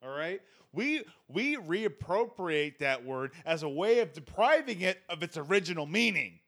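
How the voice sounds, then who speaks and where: A man in his thirties hosting a comedy podcast, joking in a dry, deadpan way with sudden loud bursts. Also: high voice, moderate pace. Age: 30s. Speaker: podcast host.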